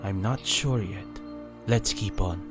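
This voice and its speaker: A man voicing a composed, guarded ninja warrior in a fantasy audiobook, speaking in a measured, serious way. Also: low-pitched, music in the background. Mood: troubled. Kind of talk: audiobook character dialogue.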